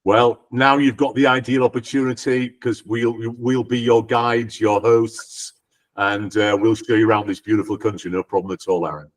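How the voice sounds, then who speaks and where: A man's voice: fairly low pitch, fairly even intonation, moderate pace. A Scottish-born man in his sixties, a guest on a video call, chatting in an easygoing, self-deprecating way with lots of ums. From Scotland. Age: 60s. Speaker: guest, company co-founder. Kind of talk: casual interview chat.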